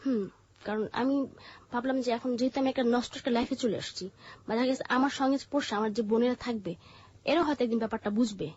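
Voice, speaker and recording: A Bangladeshi woman telling her personal story in an interview, haltingly, often repeating and rephrasing her words. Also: a little high, darkish tone, husky, muffled recording.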